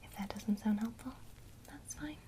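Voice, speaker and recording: A woman teaching witchcraft basics in a soft, encouraging ASMR whisper. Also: on the high side, moderate pace, slight background noise.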